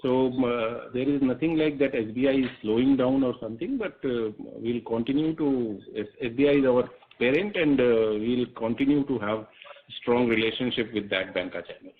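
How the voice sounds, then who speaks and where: A man with an Indian accent answering as a company executive on a conference call, in measured, broken phrases over a phone line. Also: mid-range voice. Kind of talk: spontaneous answers on an earnings call. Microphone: telephone conference call. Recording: muffled narrowband phone line.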